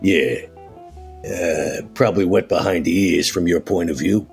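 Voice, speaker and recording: Man doing very deep gravelly male vampire, husky, century-old tough guy, offhand, folksy slang, tabletop roleplay. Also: unhurried, soft background music. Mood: easygoing, humble.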